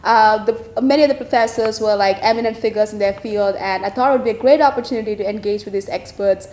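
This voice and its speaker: A woman's voice: mid-range voice, fast, a little mellow, roomy sound, slight background noise. A woman from India giving an earnest, fluent interview answer with occasional fillers. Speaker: peace fellow.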